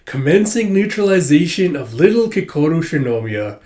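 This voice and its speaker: A man's voice: on the high side, very animated, normal speed, darkish tone, pitched above the performer's own voice. A man with a stuffy cold reading the lines of a mocking female kaiju in an amused, taunting tone. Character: mocking female kaiju, toying with her foe.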